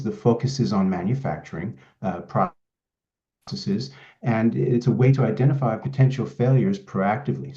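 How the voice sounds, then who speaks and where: A man's voice: mid-range voice, dark tone. Middle-aged Swedish man presenting in a roomy space. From Sweden. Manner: measured, explanatory, with frequent restarts. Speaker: pharma quality consultant presenting a demo. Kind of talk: webinar presentation. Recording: roomy, slightly dull audio.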